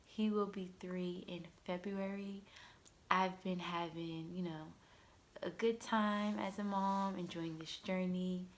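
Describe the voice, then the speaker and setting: A woman's voice: fairly low pitch, steady tone, takes it slowly. A woman in her twenties talking casually to camera as a vlogger, chatty and loose, with a lot of "like" and "you know". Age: 20s. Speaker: vlogger mom.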